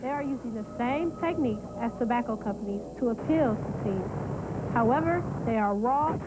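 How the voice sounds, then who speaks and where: A woman's voice: a little high, expressive, normal speed, heavy background noise. A woman reading scripted narration for a television feature. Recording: loud music under narration. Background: loud music.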